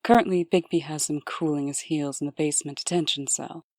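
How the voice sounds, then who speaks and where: A composed deputy played by a woman in a comic dub, dutiful and dryly candid. Her voice is low and formal, measured in delivery and recorded dry. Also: fairly even intonation, a little mellow, no room sound.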